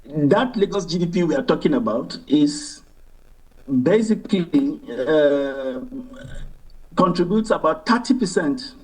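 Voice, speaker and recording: A man with a Nigerian accent, explaining as an interview guest in a deliberate, halting, emphatic way, recorded at some distance from the mic. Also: a little high, expressive, darkish tone. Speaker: interview guest, newspaper editor and journalist. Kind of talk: conversational interview commentary.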